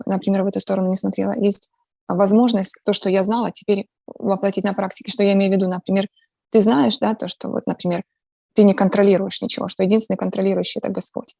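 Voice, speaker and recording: Ukrainian woman guest, gravelly, reflective, earnest, lots of fillers, close and dry sound. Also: medium pitch, level tone, fast talker, dark tone, no room sound. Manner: earnest, talks about faith. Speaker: interview guest. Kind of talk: casual conversational interview.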